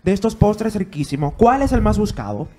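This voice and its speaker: A man's voice: high-pitched, sing-song, a little fast, a little mellow. A man in his thirties hosting a TV studio segment, upbeat and teasing, talking into a handheld mic. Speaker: TV show host.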